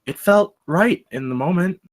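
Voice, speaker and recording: An actor playing an earnest, naive rookie campus security cadet in a comedy table read, excitable and eager. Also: male voice, high-pitched, moderate pace. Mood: tender. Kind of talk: comedy script read aloud.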